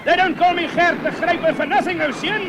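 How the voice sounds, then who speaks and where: A man playing an excitable, bumbling, boastful commentator and cowboy hero in madcap radio comedy. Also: very high-pitched, brisk, highs cut off, over a noisy crowd, heavy background noise. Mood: triumphant bravado. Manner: theatrical, exclaiming, flustered.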